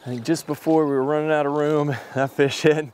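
A man's voice: a little high, flat delivery, fairly quick, darkish tone, smooth. A middle-aged man chatting in a friendly way on a drift boat outdoors, explaining the flies he uses. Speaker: angler. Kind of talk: casual chat.

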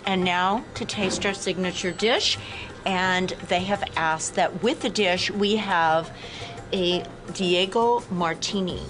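A woman's voice: on the low side, takes it slowly. A woman hosting a cooking show, chatting with a guest in a restaurant and exclaiming over the food. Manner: enthusiastic, exclamatory. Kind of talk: casual interview while tasting food. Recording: music playing behind.

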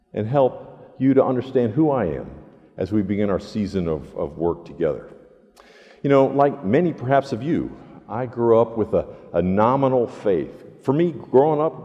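An older man delivers a sermon in a space with long reverb. His voice is soft-edged and mellow, and his pitch moves freely as he earnestly stresses and repeats his phrases. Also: highs cut off. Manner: earnest, deliberate emphatic repetition. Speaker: pastor preaching. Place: large church sanctuary, indoors.

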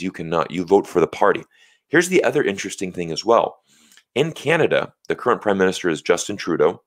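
A man's voice: deep voice, no echo. An American man in his thirties hosting a casual live stream, talking in an earnest, explanatory way with plenty of "you know" fillers. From United States. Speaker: livestream host. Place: small home studio, indoors. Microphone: large studio mic on a stand close in front.

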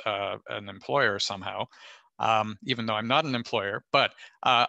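A man's voice: on the low side, sharp tone, no room sound. A man advising students in a panel discussion on a video call, explaining at length with many fillers.

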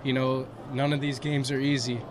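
A young man, a college baseball pitcher, answering post-game questions modestly outdoors at a ballpark, with lots of "you know" fillers. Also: level tone, normal speed, crowd noise. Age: young adult. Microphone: handheld mic held by interviewer. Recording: outdoor noise around the mic.

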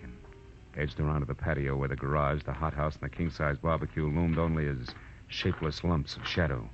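An actor with an American accent playing a tough, wry private detective, terse and slangy, in 1940s radio style. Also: male voice, very deep voice. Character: hard-boiled male private detective narrator, tough and wry. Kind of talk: 1940s radio acting, first-person detective narration. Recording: dull old radio recording with music.

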